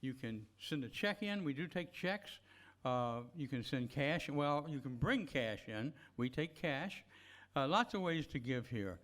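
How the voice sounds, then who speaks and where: A man's voice: mid-range voice, animated, a little slow, fairly bright tone. A man leading a church service, speaking informally to the congregation about giving. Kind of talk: informal offering talk to a congregation.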